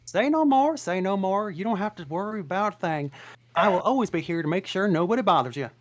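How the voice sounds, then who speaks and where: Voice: medium pitch, lively intonation, fairly quick, natural voice. Someone reading as a chatty, clingy donkey sidekick in a table-read film script. The voice swoops all over the place and trips over its own words as it rambles on eagerly. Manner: rambling, eager, stumbling restarts.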